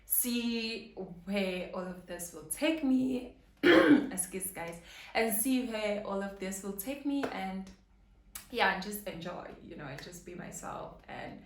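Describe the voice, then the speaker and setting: A young woman talks slowly to her camera, earnest and rambling, full of "like" and "you know". Her voice sits mid-pitched and rings in a roomy space with a faint hum behind it. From South Africa, KwaZulu-Natal. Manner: rambling, filler-heavy, earnest. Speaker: vlogger. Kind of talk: casual chat to camera.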